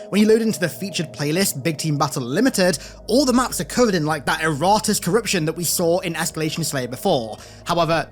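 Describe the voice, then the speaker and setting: Male video host, animated and swooping delivery, conversational gaming commentary with wry asides, light music underneath. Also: a little high. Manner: conversational, direct address to viewers, wry asides. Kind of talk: casual scripted video commentary.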